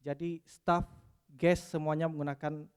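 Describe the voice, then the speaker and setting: Man, from West Sumatra, conference presenter, smooth clear voice, hesitant with fillers. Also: fairly high pitch, level tone, unhurried, darkish tone. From West Sumatra, Indonesia. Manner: hesitant, frequent "eh" fillers, mixes in English terms. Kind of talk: technical presentation.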